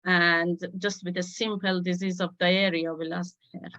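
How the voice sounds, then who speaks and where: Woman guest speaker, unhurried measured delivery, muffled lo-fi call audio. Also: lowish voice, flat delivery, bright, forceful tone. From Afghanistan. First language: Dari. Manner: measured, with frequent uh pauses. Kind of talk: personal talk on a video call.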